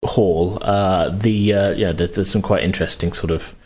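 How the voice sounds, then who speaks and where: A man acting as game master, casually narrating a tabletop game in a halting way as he searches for words. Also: low voice, fairly even intonation, darkish tone.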